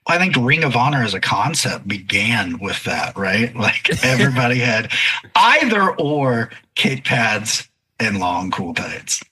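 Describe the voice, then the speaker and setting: Male guest, thirties, husky and bright, dry interjections. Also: medium pitch, big pitch swings, measured pace, rough recording. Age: 30s. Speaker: podcast guest. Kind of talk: casual chat.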